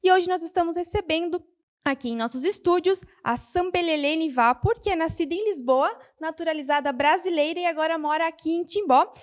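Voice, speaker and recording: A woman hosting a studio interview, opening the show and asking friendly, clear questions. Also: very high voice, a little fast. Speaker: interview host.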